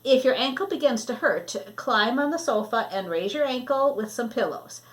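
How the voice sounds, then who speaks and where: A woman voicing a kindly, caring grandmother in a storybook, gentle and hospitable. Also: a little high, lively intonation, normal speed, lightly acted. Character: kindly, caring grandmother hosting a stranded boy.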